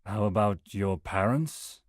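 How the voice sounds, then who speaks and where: A man playing a weary, practical travelling merchant in a visual novel, with a husky voice and a wry, muttering manner. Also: fairly low pitch, a little slow, dry recording, studio quality. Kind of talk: visual novel character acting.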